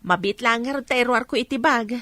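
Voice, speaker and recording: A woman playing a patient, soft-hearted village peddler in an Ilocano comedy radio drama, speaking with an Ilocano accent. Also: fairly high pitch, normal speed, no room sound, lightly acted. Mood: easygoing.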